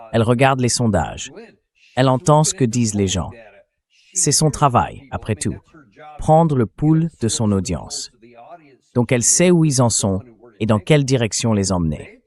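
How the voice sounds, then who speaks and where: A man's voice: medium pitch, darkish tone. Male political show host. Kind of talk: conversational political commentary.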